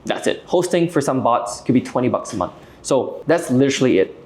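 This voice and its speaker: A man around thirty from Malaysia giving an explanatory business pitch to camera, direct and persuasive, often checking in with short tags. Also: expressive, brisk, darkish tone. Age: late 20s to early 30s. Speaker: video host and AI agency owner.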